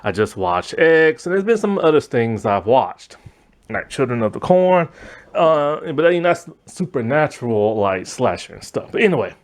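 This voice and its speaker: A man hosting a casual movie review monologue, his voice strained and going hoarse as he rambles with lots of fillers. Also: normal speed. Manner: rambling, lots of fillers, animated pitch swings. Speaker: movie review channel host.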